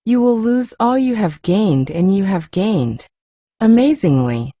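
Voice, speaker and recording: A woman reader plays a worried family doctor, a formal and measured man from a storybook. The delivery is slow and soft-toned, with a grave manner. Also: mid-range voice, very animated, no echo, studio quality. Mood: grave, concerned.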